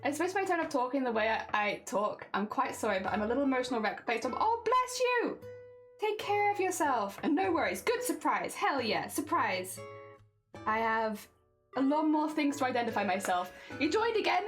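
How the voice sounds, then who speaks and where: A woman's voice: high-pitched, very animated, normal speed, fairly bright tone, faint music behind. A young woman with a cold and asthma, wheezing as she chats with her livestream viewers while embroidering. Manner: chatty, greets and answers chat viewers, laughs often.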